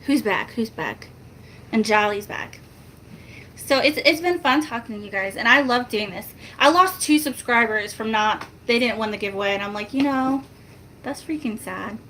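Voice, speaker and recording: A soft-spoken woman, chatting casually and laughing with her viewers in a rambling, self-mocking way. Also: normal speed, a little hiss or hum, poor audio. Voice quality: soft-spoken, high-pitched. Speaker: family vlogger.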